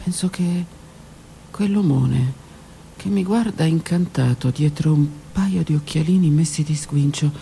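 A woman reading her own literary text aloud on the radio, in a slow, intimate voice that often drops to a whisper. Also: deep voice, creaky, a little hiss or hum. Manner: slow, intimate, hushed delivery with whispered words. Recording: radio broadcast with faint music.

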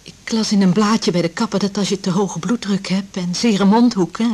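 An actress in a radio drama playing a chatty, anxious divorced patient in her fifties, rambling and confiding in a breathy voice. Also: medium pitch, normal speed, a little mellow, natural voice. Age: 50s. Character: chatty, anxious fifty-year-old divorced female patient. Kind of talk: naturalistic radio drama dialogue.

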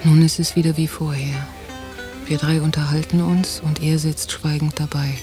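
An actress playing a watchful woman on holiday, narrating a radio drama in a measured, introspective way. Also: low voice, flat delivery, close to an everyday voice. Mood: pensive. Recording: 1990 radio drama, music behind.

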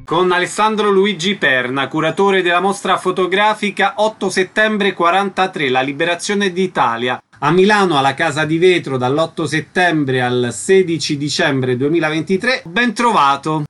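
A man's voice: fairly high pitch, expressive, normal speed, few pauses, fairly bright tone. A man hosting a radio interview, reading announcements and asking short questions.

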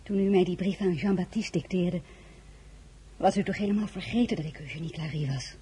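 A woman's voice: lowish voice, fairly quick, mellow, a little hiss or hum, lightly acted. An actress playing a proud, guarded lady in a radio drama, speaking in a restrained, formal way. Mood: guarded, reproachful. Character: proud, guarded lady, emperor's former love. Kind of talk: dramatic radio-play acting and narration.

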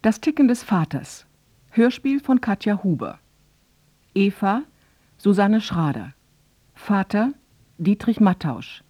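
A woman reading scripted radio drama credits in a measured, neutral way. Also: mid-range voice, sing-song, dark tone, no room sound. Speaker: credits announcer.

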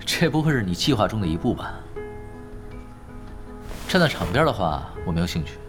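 Low, laid-back wry gaming veteran. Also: male voice, very animated, moderate pace. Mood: cool, guarded. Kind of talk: Chinese audio drama acting. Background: music.

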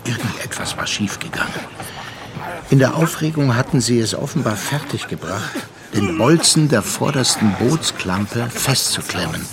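An actor as the grave narrator of a sea tragedy in a radio play, measured, solemn and dramatic. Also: male voice, medium pitch, expressive, background noise, natural voice.

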